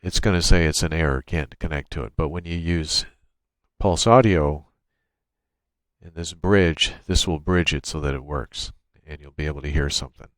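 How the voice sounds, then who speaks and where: A man narrating an unscripted software tutorial, explaining each step in a matter-of-fact way. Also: very deep voice, moderate pace, no room sound. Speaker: tutorial presenter. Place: indoors at a computer, screen-recording voice-over.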